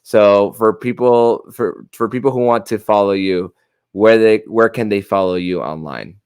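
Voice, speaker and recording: A male podcast host with a fairly low, clean and smooth voice. He talks conversationally about sports, stumbling and repeating words as he works toward each point. The sound is close and dry. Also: darkish tone, no echo. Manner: halting, stammering with repeated words.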